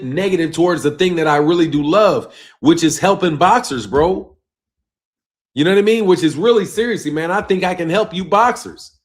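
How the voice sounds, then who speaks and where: A fairly high-pitched man. He leans hard on "bro" and "man," and his voice sinks low and quiet as each phrase trails off. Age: 50s. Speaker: boxing channel host. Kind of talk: casual live-stream rant reading chat.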